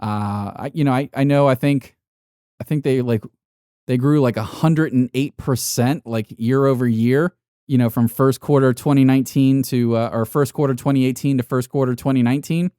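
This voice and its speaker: Mellow-voiced, blunt man podcasting solo. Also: mid-range voice, steady tone, moderate pace, smooth, no room sound, studio quality. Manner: blunt, heavily swearing, emphatic motivational ranting. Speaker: podcast host. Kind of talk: unscripted solo podcast monologue.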